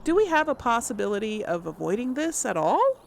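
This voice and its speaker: A woman's voice: fairly high pitch, very animated, fairly quick, vocal fry, slight background noise. A woman giving chatty, informal let's play commentary as a gaming channel host, talking directly to her viewers.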